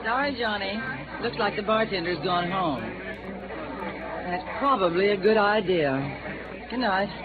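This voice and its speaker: A woman's voice: medium pitch, a little slow, pauses often, natural voice. An American woman playing a wry, world-weary socialite in a 1950s radio drama, sardonic and guarded. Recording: narrow vintage radio sound, party chatter.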